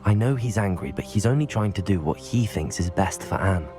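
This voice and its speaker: A woman playing a calm, tactful teenage student in a fantasy video game, with a measured delivery. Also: rumbling low, soft background music. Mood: reassuring. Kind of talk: video game dialogue acting.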